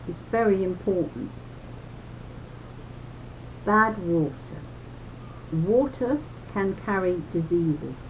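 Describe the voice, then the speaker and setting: Slow-talking woman reading to children. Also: a little mellow. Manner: deliberate, instructive, speaking as if to children. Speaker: reader of a children's health booklet. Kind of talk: read-aloud of a children's booklet with chatty personal asides. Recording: noisy home recording with low rumble.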